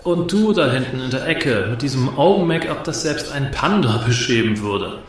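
A man's voice: medium pitch, animated, moderate pace, lightly acted. A man in a radio play acting an arrogant cabaret provocateur who mocks his audience with sneering, theatrical delivery. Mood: scornful. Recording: echoey hall with audience crowd.

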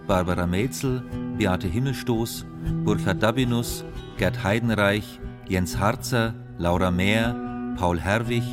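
Man for radio credits: measured, slow, delivery over clear plucked guitar music. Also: on the low side. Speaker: credits announcer. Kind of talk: scripted radio announcement.

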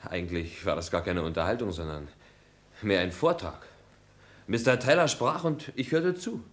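An actor playing an earnest, hesitant young witness in German radio crime drama, polite and halting. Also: male voice, low-pitched, lively intonation.

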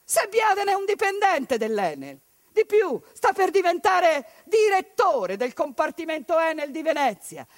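A woman's voice: very high voice, dry recording, clean studio sound. A woman actress performing a theatrical civic monologue, indignant and declamatory, firing rhetorical questions.

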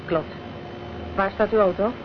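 A woman's voice: mid-range voice, steady tone, measured pace, close to an everyday voice. A woman playing a brash, blunt, streetwise chip-shop girl in a Dutch radio drama. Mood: brash, wary. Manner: blunt, cheeky. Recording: dull old radio recording, faint noise.

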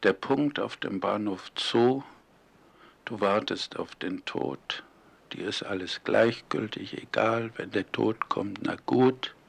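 A man conducting a reflective documentary radio interview in deliberate, measured, earnest phrasing, on a 1970s recording. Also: on the low side, gravelly, breathy edge.

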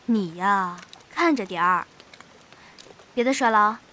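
A woman's voice: mid-range voice, unhurried, bright, forceful tone. An actress playing a blunt, teasing young female companion in a Chinese fantasy audio drama. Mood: scolding, teasing.

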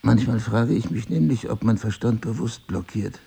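An actor playing a middle-aged amnesiac husband in an audio drama, husky and gravelly, probing and dryly self-mocking. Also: male voice, on the low side, normal speed, dark tone, full character voice. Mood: reflective, uneasy. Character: amnesiac husband, a crime writer, searching and wryly ironic. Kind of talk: intimate audio drama dialogue.

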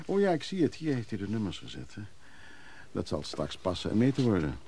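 An actor playing a polite, evasive buyer in a naturalistic radio drama, courteous but hesitant and stammering. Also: male voice, on the low side, huge pitch swings, a little fast, mellow, slight background noise. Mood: courteous, reassuring. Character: polite, evasive male buyer, smooth and eager.

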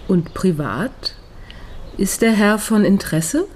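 An actress playing a worldly middle-aged woman doctor in a radio crime drama, a blunt, brisk friend with a sardonic, teasing manner. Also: medium pitch, lively intonation, darkish tone, breathy edge. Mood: teasing, curious.

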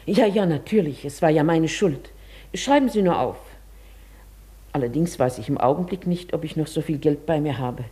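A proud, genteel lady in a radio play, quietly desperate beneath her manners. Her voice is lowish, soft-edged and very mellow, with a clear husk to it. She stays courteous and composed. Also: animated, moderate pace. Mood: polite, strained. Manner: polite, composed, confiding when talking to herself. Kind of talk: German radio play acting.

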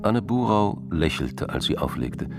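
An actor playing a calm, wry narrator of a radio crime story, reading scripted narration. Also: male voice, low voice, a little slow, heavily acted. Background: guitar music.

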